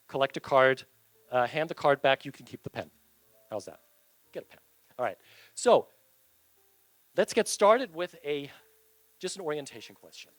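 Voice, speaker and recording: A man's voice: a little high, a bit bright, no room sound. A man moderating a live panel discussion at an event, upbeat and loose, joking with the audience between questions.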